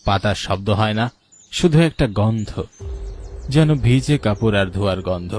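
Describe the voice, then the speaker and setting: A man's voice: lowish voice, animated, measured pace, a little mellow. A man narrating a scripted horror story as its storyteller.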